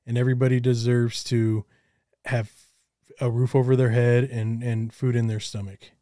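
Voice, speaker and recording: A man's voice: mid-range voice, little pitch movement, normal speed, warm tone, no room sound, studio quality. A man hosting a casual interview, affirming his guest with short encouraging replies. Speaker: interview host.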